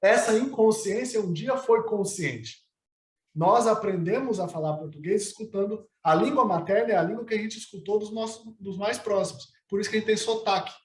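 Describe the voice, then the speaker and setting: High-voiced man explaining, echoey room. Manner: teacherly, poses and answers his own questions. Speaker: guest, psychologist and philosopher. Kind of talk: explanatory conversation. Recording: roomy echo.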